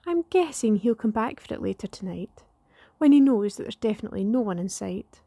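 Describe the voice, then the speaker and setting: A woman reading a rhyming poem in a hushed, partly whispered way. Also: on the high side, animated, brisk, husky, no room sound. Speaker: library staff member reading a poem. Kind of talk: poetry reading.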